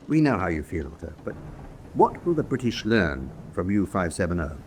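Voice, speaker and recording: Conscientious officer with lively intonation. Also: male voice, sits low, moderate pace. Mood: probing, challenging. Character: conscientious, doubting German officer presiding over prisoners' court-martial. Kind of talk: radio drama acting. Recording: background noise and ambience.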